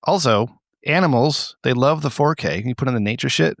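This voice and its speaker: A man's voice: mid-range voice, a little fast, creaky, fairly bright tone, no echo. Irreverent, profane man ranting, dry close sound. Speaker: podcast co-host. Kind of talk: casual comedic banter.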